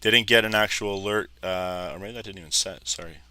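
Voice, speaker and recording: A man in his thirties, a little hoarse, casually talking viewers through live trading charts and calling them "guys". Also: sits low, fairly quick, bright, forceful tone. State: slightly hoarse, losing his voice. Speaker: trading educator. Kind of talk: live trading screen commentary.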